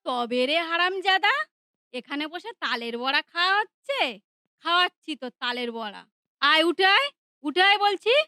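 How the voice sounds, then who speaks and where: A woman's voice: very animated, moderate pace, pushed and bright, dry recording, clean studio sound, heavily acted. A woman voicing a harsh, scolding mother and daughter-in-law in a cartoon. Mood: angry. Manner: shrill, scolding.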